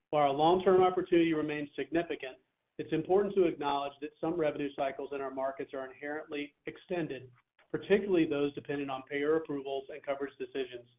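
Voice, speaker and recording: A man speaking as chief executive, giving formal, measured scripted remarks on an earnings call. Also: on the high side. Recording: thin phone-line conference audio.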